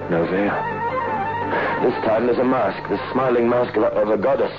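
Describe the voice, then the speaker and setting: An actor playing a haunted, frightened man losing his mind in a radio horror drama, with a halting, anguished delivery. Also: lowish voice, natural voice. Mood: uneasy. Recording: lo-fi old radio broadcast with music.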